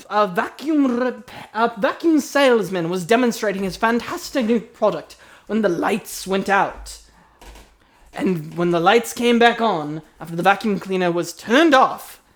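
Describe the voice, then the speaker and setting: A woman narrating an improvised comedy mystery as a mock radio announcer and podcast host. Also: mid-range voice.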